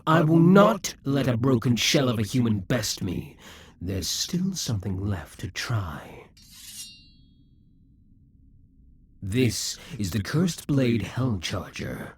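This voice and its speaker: A possessive demon king who schemes and broods, with a man doing the voice. He speaks to the listener slowly, in a husky, breathy tone. His pitch swings widely as he monologues for an audio roleplay. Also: big pitch swings, full character voice. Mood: scheming.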